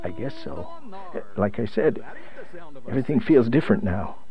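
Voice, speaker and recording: A man's voice: lowish voice, lively intonation, moderate pace, close to an everyday voice. Man playing an anxious, stammering therapy patient. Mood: subdued, confused. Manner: hesitant, stammering. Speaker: actor in a radio play. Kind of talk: radio drama acting. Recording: dull sound with faint noise.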